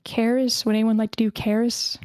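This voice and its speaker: A woman's voice: fairly high pitch, little pitch movement, fairly quick, a little mellow, clean tone, no echo. A woman casually hosting an online event, chatty and informal, often thinking aloud. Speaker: host of an online script table read.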